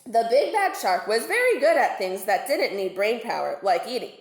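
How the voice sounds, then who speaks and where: A woman reading a children's storybook aloud like a teacher, deliberate and expressive with dramatic emphasis. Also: on the high side. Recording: roomy, echoing home recording.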